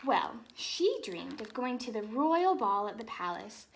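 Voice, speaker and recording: Storybook ballet mistress read by a woman: instructive, composed, higher-pitched with expressive intonation. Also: measured pace, slight background noise, rough recording.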